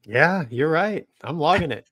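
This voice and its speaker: A man's voice: on the high side, lively intonation, unhurried, fairly bright tone. An American man in his forties chatting casually and informally as a podcast co-host. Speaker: podcast co-host, military pilot. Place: small home studio room. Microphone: close studio microphone with headphones.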